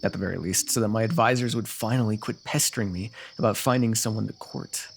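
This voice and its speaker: A man's voice: lowish voice, fast talker, gravelly, soft background music. A man playing a teasing, lovestruck royal ruler with courtly, formal phrasing in an ASMR fantasy roleplay. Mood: wry, wistful.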